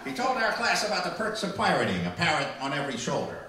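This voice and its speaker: A man's voice: a little high, wildly swooping pitch, quick pace, a crowd in the background. Man playing a hearty, drunken pirate captain, echoey room. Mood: boastful, jokey. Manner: hearty pirate talk with growled arrs. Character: drunken, boastful, silly old-time pirate captain. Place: small library room before a live audience. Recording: echoey room, far from the mic.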